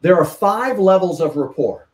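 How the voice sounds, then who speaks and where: A man's voice: high-pitched, lively intonation, normal speed, darkish tone, distant mic. Male podcast guest, communication coach type, explanatory; off-mic, roomy sound. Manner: explanatory, teaching with examples. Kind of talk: podcast interview.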